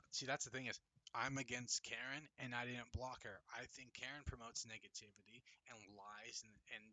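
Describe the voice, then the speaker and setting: A man's voice: fairly low pitch, a bit bright, dry recording, low-quality recording. A young man hosting a casual livestream, reading chat comments with a mocking, profane, laughing manner. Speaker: livestream host. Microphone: desk microphone.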